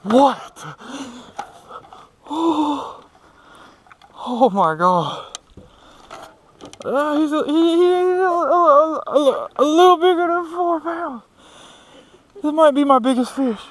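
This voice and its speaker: High-voiced Southern US man vlogging outdoors. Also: takes it slowly, pauses often. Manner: excitable, repeats himself. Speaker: fishing vlogger. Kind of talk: casual vlog chat while fishing. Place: small open boat on a lake. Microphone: action camera, worn or mounted nearby. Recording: outdoor action camera with background noise.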